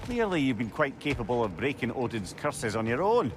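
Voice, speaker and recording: An actor playing a witty, learned older advisor in a video game, articulate, wry and teasing. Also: male voice, mid-range voice, sing-song, brisk, background noise, lightly acted. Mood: sardonic. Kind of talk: dramatic video game acting.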